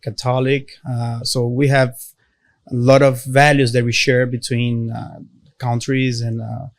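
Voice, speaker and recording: Brazilian-accented man, halting and dry. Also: medium pitch, little pitch movement, creaky, no echo. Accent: Brazilian Portuguese. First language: Portuguese. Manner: halting, many "uh" and "like" fillers, non-native grammar. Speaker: podcast guest. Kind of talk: casual interview conversation.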